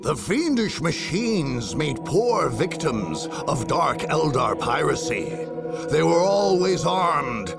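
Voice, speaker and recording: An actor playing a grave, ominous chronicler of dark wars, narrating a video game cutscene theatrically and savoring the words. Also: male voice, on the high side, vocal fry, exaggerated voice. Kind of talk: dramatic scripted game narration. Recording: music under the narration.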